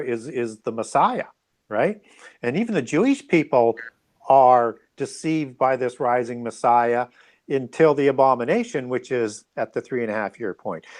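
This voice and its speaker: A man speaking as an interview guest, explaining his views in a conversational, musing way. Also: mid-range voice.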